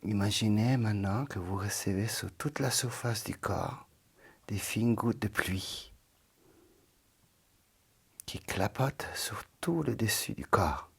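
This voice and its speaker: A man leading a guided yoga nidra meditation in a soft, calm voice that often drops into a half-whisper. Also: fairly low pitch, takes it slowly, gravelly, long pauses, breathy edge.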